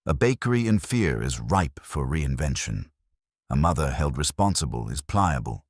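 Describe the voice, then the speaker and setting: A man's voice: extremely low pitch, sing-song, normal speed, husky, no echo, studio quality, exaggerated voice. A man voicing a stern, imperious detective genius in an audiobook, with a pompous, formal and accusatory way of speaking. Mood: accusing, commanding.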